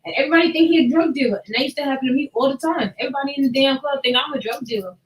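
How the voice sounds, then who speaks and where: High pitched lady joking around on a podcast, real slangy, mic kinda far off. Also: rapid-fire, echoey room. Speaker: podcast co-host. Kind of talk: casual banter among friends.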